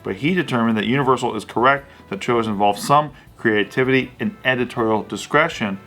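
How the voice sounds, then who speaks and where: A man's voice: mid-range voice, brisk, fairly bright tone, faint music behind. A man hosting a casual comedic news chat, reading a story and then teasing his co-hosts in a playful way. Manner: playful, teasing, jumps into mock voices. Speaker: host.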